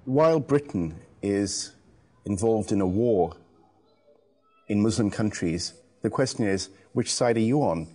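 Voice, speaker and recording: A man narrating a documentary and putting probing questions to interviewees in a measured way. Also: fairly low pitch, animated, darkish tone, no room sound.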